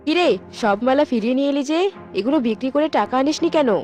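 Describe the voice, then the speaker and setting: A woman's voice: high-pitched, fast, darkish tone, natural voice. A woman voicing a greedy, nagging mother in a fairy-tale cartoon, speaking emphatically. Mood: greedy scolding. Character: greedy, scolding mother dreaming of riches. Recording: faint music under the voice.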